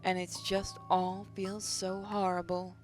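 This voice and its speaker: Woman reading dramatically over clear music. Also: fairly low pitch, flat delivery, slow pace. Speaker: gaming channel host reading a visual novel aloud. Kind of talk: dramatic first-person story reading with casual asides. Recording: clear game music behind the voice. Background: game music.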